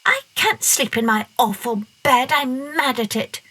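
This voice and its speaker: A woman's voice: sits high, measured pace, pushed and bright, voice pushed up, exaggerated voice. A woman voicing a stubborn, restless little girl in a storybook reading, with a whiny, squealing manner. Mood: cross.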